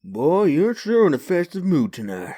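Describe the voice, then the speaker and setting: A man's voice: a little high, sing-song, moderate pace, warm tone. A man playing a joking, chummy vagrant drinking with his pals in a comic dub, with folksy, slangy banter. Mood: jovial, teasing.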